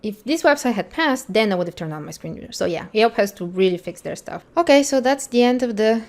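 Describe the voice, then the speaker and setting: A woman's voice: a little high, lively intonation, brisk. A woman whose first language is Bulgarian, an accessibility instructor thinking aloud in a candid, blunt way while she tests a website for a screen-recorded tutorial.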